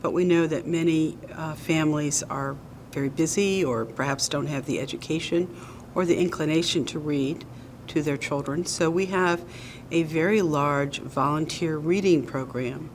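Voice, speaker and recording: Woman, low voice, interview guest, nonprofit director, measured, earnest, light fillers. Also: slight background noise. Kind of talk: explanatory interview answers.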